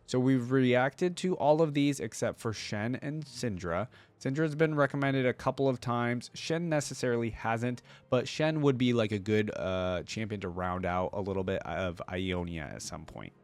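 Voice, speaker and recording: A man's voice: medium pitch, moderate pace, few pauses. A man hosting a reaction video with casual, informal commentary, thinking aloud as he goes.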